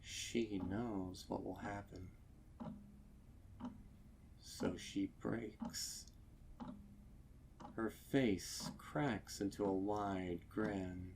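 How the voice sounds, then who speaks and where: Very slow, deliberate male dark-story narrator. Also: sits low, fairly even intonation, vocal fry, a little hiss or hum. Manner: measured, deliberate pauses. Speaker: fan fiction narrator.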